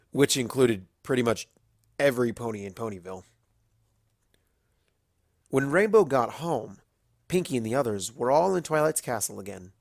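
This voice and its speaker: A storyteller giving an expressive, scripted reading of narration for a fan fiction story. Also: mid-range voice, vocal fry, no echo, studio quality.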